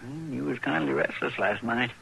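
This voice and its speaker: An actor playing a loyal, stubborn frontier deputy with a rural Western American accent and folksy, ungrammatical talk, in an old-time radio western. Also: male voice, medium pitch, normal speed, gravelly, bright, forceful tone. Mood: earnest, plain. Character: loyal, stubborn frontier deputy, plain-spoken country man. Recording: noisy vintage radio drama recording.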